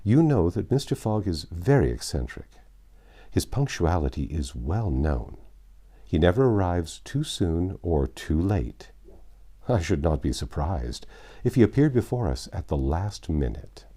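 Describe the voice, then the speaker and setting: Man reading as a cautious, deep-voiced gentleman banker. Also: big pitch swings, a little slow, breathy edge, full character voice. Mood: cautioning. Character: cautious, measured gentleman banker at a London club.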